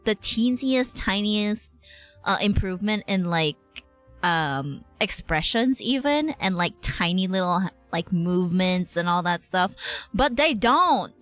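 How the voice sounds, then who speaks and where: Slow-paced, animated woman streamer. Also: mid-range voice, faint music behind. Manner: chatty, rambling, giggly, slangy. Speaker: game streamer. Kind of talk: casual live reaction commentary.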